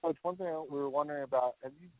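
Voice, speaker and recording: Man, fast talker, call moderator, businesslike, tinny phone line. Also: a little high, no echo. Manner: brisk and businesslike. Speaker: bowl game moderator.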